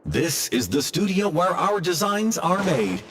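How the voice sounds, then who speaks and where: A man's voice: high-pitched, very animated, unhurried, a bit bright, heavily acted. A man voicing a polite mechanical guide who gives a scripted factory tour in courteous announcements.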